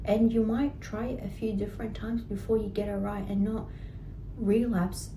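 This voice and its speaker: A woman guiding a client through a healing session in a warm, mellow voice. She encourages the client and keeps checking in with a quick "right?" The sound is roomy and echoey, with a faint rumble behind her. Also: fairly even intonation. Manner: encouraging, repeats "right?" and "guess what". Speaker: healing practitioner. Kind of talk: coaching session.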